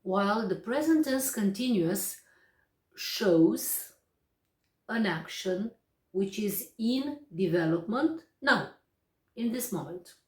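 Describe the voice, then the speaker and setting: Slow, deliberate Romanian-accented woman teaching. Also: medium pitch, animated. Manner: slow and deliberate, with frequent pauses and hesitations. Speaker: English teacher. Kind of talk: explanatory grammar lesson. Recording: echoey room, distant mic.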